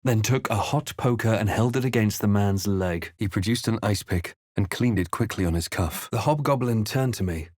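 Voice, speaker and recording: An actor playing a serious young adventurer hero in a video game, telling events with deliberate, dramatic restraint. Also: male voice, fairly low pitch, darkish tone, no echo, studio quality, close to an everyday voice. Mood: tense, reflective. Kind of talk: video game voice lines and past-tense storybook narration.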